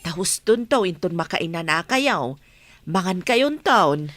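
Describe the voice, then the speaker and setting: A woman's voice: lowish voice, lively intonation, a little slow, fairly bright tone. A woman playing a respectful, attentive household nanny and cook in an Ilocano radio drama. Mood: attentive, caring.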